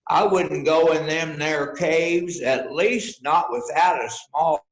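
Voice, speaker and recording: Folksy small-town lawman, played by a man, unhurried and halting, lightish voice, amateur stage mystery, echoey room. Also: on the high side. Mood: folksy, hesitant. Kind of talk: amateur readers theater mystery play.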